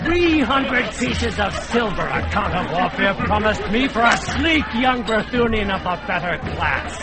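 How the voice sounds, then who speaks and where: A bellowing fat kidnapper. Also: male voice, high voice, animated, measured pace, loud music behind the voice, a loud crowd, rough recording. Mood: boastful. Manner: bellowing, jeering, laughing often. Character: fat boastful male kidnapper, loud and mocking. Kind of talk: radio drama acting.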